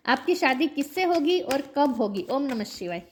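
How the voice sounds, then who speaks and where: A woman's voice: high voice, a little hiss or hum. A woman with a sore, coughing throat, giving a tarot reading to her viewers and chanting between card draws. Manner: chants a mantra between card draws, slow repeated questions. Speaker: tarot reader.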